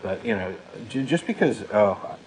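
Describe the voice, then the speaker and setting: A man's voice: lowish voice, expressive, normal speed, a little mellow, poor audio. A man in his late thirties co-hosting a talk show, giving opinions in an emphatic, blunt, casual way. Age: late 30s.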